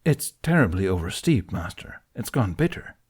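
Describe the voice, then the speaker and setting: A man voicing a weary bearded male Jedi who speaks politely and quietly. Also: low-pitched, very animated, moderate pace, slightly rough, exaggerated voice. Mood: subdued. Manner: polite, subdued. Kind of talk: fan audiobook reading.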